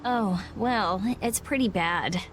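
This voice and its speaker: A woman playing a sharp-tongued, hot-tempered, brainy teenage girl mage in a video game, blunt and testy. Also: medium pitch, slow pace, slight background noise, lightly acted. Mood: angry.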